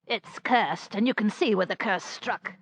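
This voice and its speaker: A woman's voice: mid-range voice, unhurried, slightly rough. An actress playing a superstitious small-town woman in a radio drama, gossiping ominously. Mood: foreboding.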